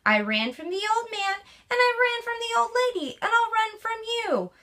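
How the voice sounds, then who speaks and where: A tiny, cocky gingerbread man, as a woman puts him on, who chants his taunts in a sky-high, sing-song voice. The sound is bright and sharp, with lively bounce in every line of this storybook reading. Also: moderate pace, heavily acted. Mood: taunting.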